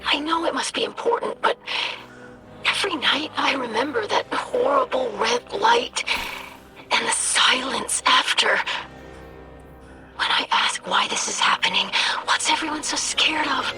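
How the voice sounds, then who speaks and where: An earnest young female crew member in a game drama. Her high voice is emotional, defiant and haunted, pressing insistently through loud music. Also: a little slow, in a whisper, rough recording. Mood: haunted, frustrated. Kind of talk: dramatic video game acting.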